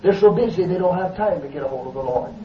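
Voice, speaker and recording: Fervent high-voiced preacher man, echoey. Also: lively intonation, fast talker, noisy background. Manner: fervent, rising to shouts with frequent hallelujahs. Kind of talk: Pentecostal sermon. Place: church service. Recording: echoey dull lo-fi recording from a distance.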